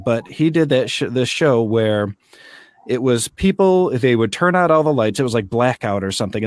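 A man in his thirties hosting a casual online author chat, joking and animated. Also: medium pitch, dry recording, studio quality. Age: 30s. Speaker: podcast host. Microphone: studio mic on arm.

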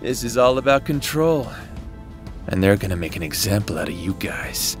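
A man's voice: lowish voice, very animated, moderate pace, faint music behind. A man playing a cocky demon schemer in an audio roleplay drama, casual and teasing with a swaggering manner. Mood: scornful, emphatic. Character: cocky, taunting male demon, amused schemer.

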